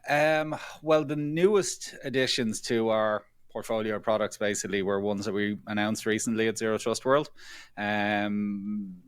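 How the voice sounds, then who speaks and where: A man's voice: on the low side, steady tone, moderate pace, smooth, no echo, studio quality. A man speaking as a guest in an interview, chatty and self-deprecating, often repeating his phrases. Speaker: guest, product executive. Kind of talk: conversational interview answers.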